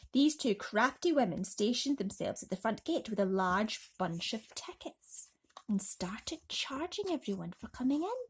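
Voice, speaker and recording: A woman narrating a children's bedtime story expressively, dramatically dropping into breathy, hushed tones. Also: fairly high pitch. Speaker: storybook reader.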